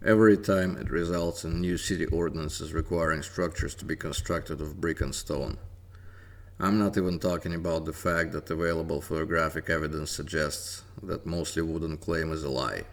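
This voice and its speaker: Middle-aged guy with a russian accent, low voice, talks slow and careful with lots of uh. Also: fairly even intonation. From Soviet Union. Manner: measured, deliberate, with frequent "uh" pauses. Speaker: independent history researcher. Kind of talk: narrated audio commentary.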